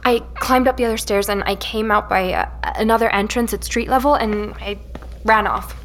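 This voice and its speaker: A woman playing a shaken young woman who records a hurried, halting account in a naturalistic audio drama, sighing between phrases. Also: mid-range voice, steady tone, lightly acted. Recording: music underneath.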